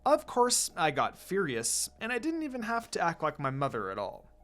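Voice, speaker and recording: Man acting out hot-tempered teen ninja girl, story reading, emphatic, exasperated, animated intonation, background music. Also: a little high, normal speed, voice pushed up. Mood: furious. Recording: music behind the voice.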